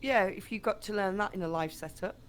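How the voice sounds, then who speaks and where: A woman's voice: mid-range voice, fairly quick. A woman speaking as a guest on a live stream in casual, conversational chat. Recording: clear background noise.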